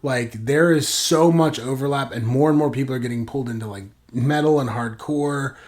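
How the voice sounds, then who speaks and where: A man's voice: mid-range voice, moderate pace, a little mellow. A man co-hosting a podcast, talking in a rambling way with lots of "like" fillers and sudden bursts of enthusiasm. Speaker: podcast co-host. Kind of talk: casual podcast chat.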